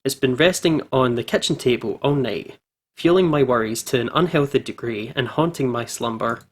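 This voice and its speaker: A man narrating a visual novel in an expressive way, as a playful gaming YouTuber. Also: medium pitch, normal speed.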